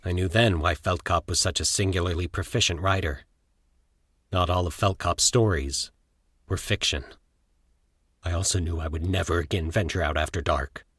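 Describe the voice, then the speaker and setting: A man narrating scripted horror stories as a podcast host, in a theatrical, measured storyteller's manner. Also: low-pitched, a bit bright, slightly rough, no echo, studio quality.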